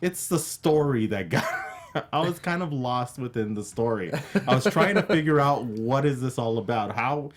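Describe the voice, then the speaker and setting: A man giving casual film opinions as a panel critic, speaking in a halting, plain-spoken way. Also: medium pitch, expressive. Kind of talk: casual film review chat.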